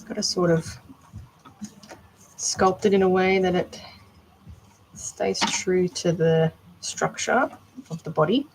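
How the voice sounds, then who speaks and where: A woman with a well-spoken Australian accent from Melbourne, chatting calmly on a live stream as she sculpts a doll and narrates each step. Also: fairly low pitch, takes it slowly, pauses often, slight background noise, rough recording. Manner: talks calmly while working, with quiet asides about each step. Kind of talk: casual live-stream chat while sculpting.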